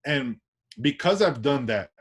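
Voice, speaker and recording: New york guy chatting in an interview, mid pitch, keeps saying right?, restarts a lot. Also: lively intonation, measured pace, husky. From Washington Heights, New York. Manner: loose, tag questions, many restarts. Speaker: artist guest. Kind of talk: casual interview chat.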